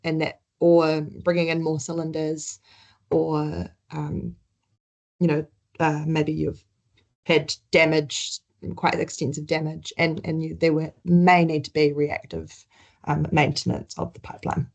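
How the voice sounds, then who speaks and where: A woman's voice: deep voice, flat delivery, unhurried, creaky, a little mellow. A woman with a New Zealand accent presenting slides in a webinar, conversational, with frequent ums and restarts.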